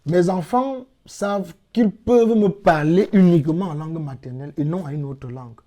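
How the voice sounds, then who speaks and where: A middle-aged man from Cameroon speaks as an interview guest, explaining points patiently and repeating key phrases for emphasis. His voice is mellow and warm, sitting a little high for a man. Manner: measured, explanatory, emphatic repetitions. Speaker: interviewed guest, language teacher. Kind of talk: interview answers.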